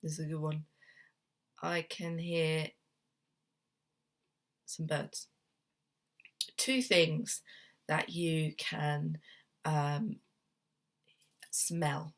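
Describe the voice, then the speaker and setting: A young woman with a slightly low voice talks through coping tips in a relaxed, gentle way, full of ums and little restarts. She has a cold and sounds a bit sniffly. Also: drawn-out words, pauses often. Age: young adult. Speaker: therapist. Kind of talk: casual self-help talk to camera. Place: home room at a desk.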